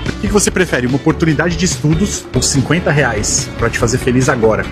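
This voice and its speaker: A man asking passersby quick, upbeat questions in a street interview. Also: mid-range voice, lively intonation. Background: music.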